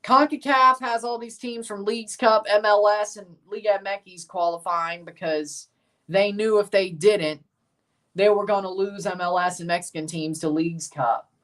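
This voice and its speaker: A man's voice: high voice, steady tone, moderate pace, a bit bright, recorded from a distance. Rambling Southern American man streaming. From United States. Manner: rambling, casual, mild swearing. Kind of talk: live match watchalong chat.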